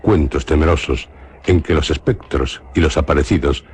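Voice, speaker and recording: A man with a Spain Spanish accent narrating a radio drama in a measured, grave way. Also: rumbling low, a little mellow, breathy edge.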